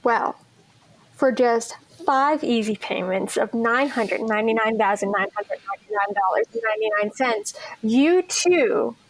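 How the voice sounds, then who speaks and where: A woman's voice: a little high, lively intonation, unhurried, gravelly, slight background noise, low-quality recording. A woman talking casually as a guest in an interview. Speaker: cast member interviewee. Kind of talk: casual chat.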